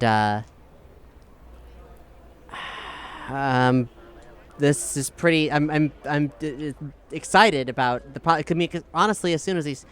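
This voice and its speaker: A man with a smooth, clear voice plays a tabletop role-playing game. His talk is casual and halting, doubling back to rephrase, at a medium pitch. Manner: halting, self-correcting speech.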